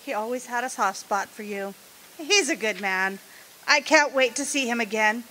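A woman's voice: on the high side, lively intonation, moderate pace, bright, forceful tone, slight background noise, rough recording. A woman playing a stern, judgmental, prim mother who scolds, in a radio drama. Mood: softened.

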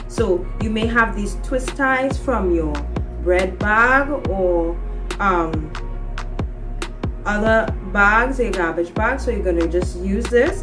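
A woman's voice: mid-range voice, recorded from a distance. A woman in her thirties with a Trinidadian accent, hosting a preschool lesson and speaking in simple, instructive phrases to young children. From Trinidad and Tobago. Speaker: children's show host, preschool teacher. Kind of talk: presenting a preschool circle-time lesson to children. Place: small indoor room with a classroom display wall.

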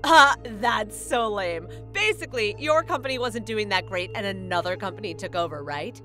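A woman's voice: mid-range voice, expressive, over music, lightly acted. A woman playing a condescending young wedding planner in a manga dub, an ex-girlfriend who is mock-polite and taunting. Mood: scornful. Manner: mock-polite, taunting, drawn-out jabs with scornful laughs.

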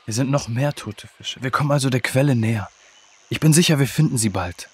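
A man playing a calm, brave jungle companion in a children's audio drama, husky and reassuring. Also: medium pitch, brisk, natural voice. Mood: hushed, confident.

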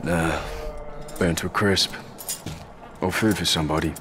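Low, terse wasteland warrior. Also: male voice, a little slow, background noise. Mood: grim, businesslike. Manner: curt, flat, unsentimental. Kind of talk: understated video game character acting.

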